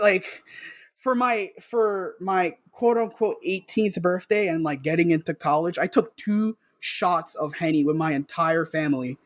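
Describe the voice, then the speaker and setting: A young man from the South Bronx in New York telling personal stories casually as an interview guest, in an animated, slangy way. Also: high voice, no room sound. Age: young adult. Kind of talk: casual conversational storytelling.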